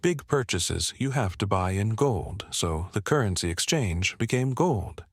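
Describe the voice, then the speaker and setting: A man in his fifties from Vietnam speaks in a fairly low voice, recorded dry and close. French is his first language, and he explains his memories as an interviewee, often checking in with the listener. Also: animated, unhurried, no echo. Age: 50s. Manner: explanatory, often checks with "right?" and "you know". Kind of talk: oral history interview, personal recollection.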